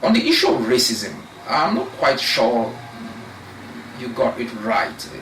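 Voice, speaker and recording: A young man from Cameroon, a law researcher, giving measured, argumentative answers as an interview guest, with pauses and restarts. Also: medium pitch, big pitch swings, fairly bright tone, recorded from a distance. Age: young adult. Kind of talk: interview discussion. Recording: echoey room, voice off-mic, faint noise.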